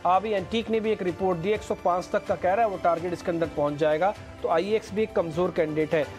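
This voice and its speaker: A man with a high voice anchors a live stock market show in brisk commentary, talking straight to the viewer. Soft music plays underneath him.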